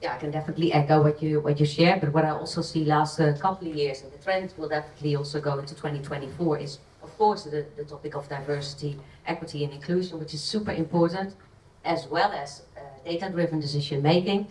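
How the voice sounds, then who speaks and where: A woman's voice: low voice, steady tone, quick pace, a little mellow. A woman with a Dutch accent speaking on a conference panel, hesitant with frequent fillers. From Netherlands. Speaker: panelist, talent acquisition director. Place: conference stage. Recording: echoey hall, distant mic.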